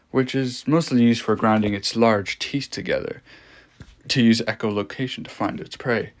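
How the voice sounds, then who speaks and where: A man playing a morbidly fascinated scientist explaining a monster in a horror audio log, lecturing with dramatic pauses and rhetorical questions. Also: medium pitch, close to an everyday voice.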